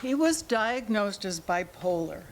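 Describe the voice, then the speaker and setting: A woman's voice: mid-range voice, expressive, a little slow, slight background noise. A woman in a staged play reading, playing a middle-aged mother of grown children who is defensive, evasive and pleading. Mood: self-justifying. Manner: pleading, self-justifying.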